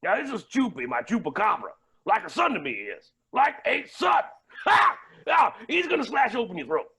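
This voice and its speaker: A man playing a flamboyant, menacing and unhinged billionaire villain in a staged play reading, with a raspy, creaky voice and grandiose delivery. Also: sits high, wildly swooping pitch, moderate pace, heavily acted. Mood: smug menace, then manic triumph. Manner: theatrical, grandiose, swinging from mutters to shouts.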